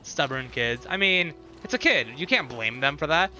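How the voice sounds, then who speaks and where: A man's voice: on the high side, expressive, normal speed, sharp tone, soft background music. A man chatting casually with viewers as he streams a game, repeating short phrases and thinking aloud.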